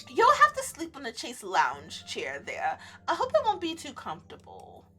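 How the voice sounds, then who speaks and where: A woman's voice: high-pitched, huge pitch swings, moderate pace, pushed and bright, soft background music, full character voice. A woman voicing a serene male winter spirit from a visual novel, awkward, polite and self-deprecating. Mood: awkward, apologetic. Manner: polite, apologetic, reserved. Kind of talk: visual novel character read aloud.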